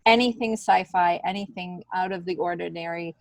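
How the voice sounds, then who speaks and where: A woman's voice: fairly low pitch, normal speed, vocal fry, no room sound. A middle-aged woman, a stand-up comedian, talks casually and candidly as a podcast guest, in an informal, filler-heavy way. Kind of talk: casual conversational chat.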